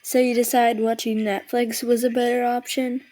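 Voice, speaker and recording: Woman acting a higher-pitched, coaxing mother. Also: little pitch movement, moderate pace, close to an everyday voice. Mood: coaxing, chiding. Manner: gently chiding, coaxing. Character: patient, nudging mother of a sulky teenager. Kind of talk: naturalistic audio drama acting.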